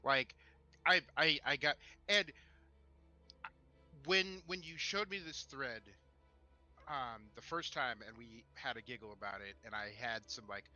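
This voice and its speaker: A man co-hosting a casual chat in a halting way, often repeating words as he starts a thought. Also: medium pitch, pushed and bright, highs cut off. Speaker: podcast co-host.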